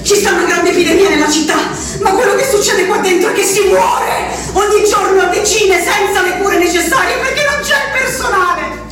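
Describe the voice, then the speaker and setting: An actress playing a woman locked in an asylum, writing an angry, pleading letter to her husband, speaking quietly at times and shouting at others. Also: extremely high pitch, brisk, distant mic. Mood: desperate. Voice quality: shifts from quiet reading to shouting. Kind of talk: radio drama acting. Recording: roomy radio broadcast with music, voice a bit off mic.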